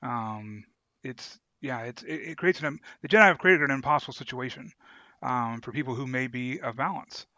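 A man co-hosting a podcast, explaining his thoughts in a halting, reflective, conversational way. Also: mid-range voice, pushed and bright, no room sound, dull audio. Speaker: podcast co-host. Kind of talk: casual discussion.

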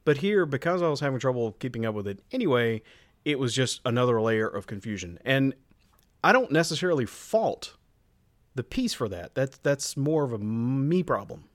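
A man's voice: mid-range voice, sing-song, moderate pace, dry recording, clean studio sound. A man co-hosting a podcast, giving blunt, opinionated views in casual conversation. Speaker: podcast co-host. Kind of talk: casual chat reviewing an audio drama.

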